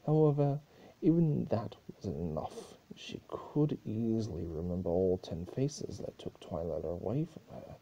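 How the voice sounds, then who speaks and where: A man's voice: fairly low pitch, big pitch swings, a little slow, gravelly, warm tone, breathy edge, muffled recording. A man giving an amateur dramatic reading of a story, now and then stumbling over his words. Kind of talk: dramatic scripted narration.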